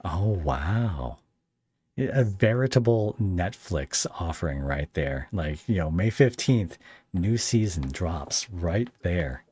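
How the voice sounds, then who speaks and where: A man with a low voice and a close, dry sound hosts a casual interview. He is chatty and rambles through his questions, slipping in jokey asides. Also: expressive, takes it slowly, a little mellow, slightly rough, dry recording. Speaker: podcast host and interviewer.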